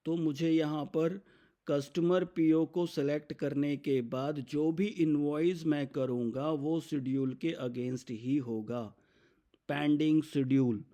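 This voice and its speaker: A man with an Indian accent explains software step by step as an instructor, mixing English business terms into his speech. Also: on the high side. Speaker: software demonstrator.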